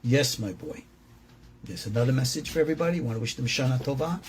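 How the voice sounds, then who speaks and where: A man speaking as a rabbi in an informal religious lesson, preaching bluntly and emphatically with a gravelly voice and acting out little dialogues. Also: mid-range voice, animated, quick pace. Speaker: rabbi and teacher at a Torah school.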